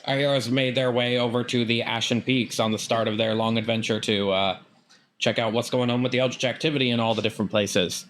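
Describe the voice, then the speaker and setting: A mid-pitched man hosting a tabletop game as game master. He recaps in a loose, joking way with plenty of fillers. A faint hum sits under his voice. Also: steady tone, a little fast, a bit bright.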